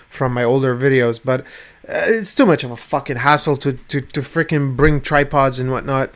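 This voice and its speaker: A man whose first language is not English, ranting at his webcam in a rambling way, swearing often and restarting his sentences. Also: medium pitch. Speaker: vlogger. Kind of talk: rambling personal rant. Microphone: webcam at desk.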